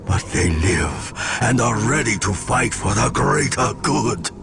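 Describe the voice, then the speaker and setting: A man playing a grave, dignified alien leader in a video game, speaking in a measured, formal way. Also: mid-range voice, expressive, gravelly. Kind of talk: video game cutscene acting. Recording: loud music underneath.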